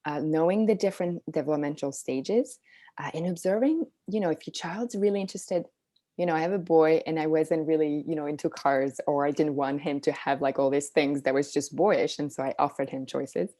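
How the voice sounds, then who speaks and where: Very low, mellow woman explaining casually. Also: a little fast. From Switzerland. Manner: informal, hedging with "like" and "you know". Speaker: interview guest, Montessori parenting coach. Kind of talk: casual explanatory interview talk.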